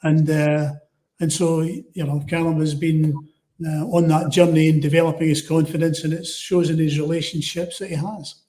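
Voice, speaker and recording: A man in his sixties speaks with a raised but even, unvarying pitch and a mellow tone. He talks proudly about his son as a webinar guest on a video call. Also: normal speed. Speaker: guest, father. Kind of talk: webinar conversation.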